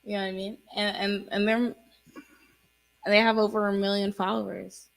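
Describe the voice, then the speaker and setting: Gravelly-voiced woman chatting loosely. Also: medium pitch, little pitch movement, moderate pace. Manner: rambling, lots of fillers and restarts. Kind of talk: casual chat.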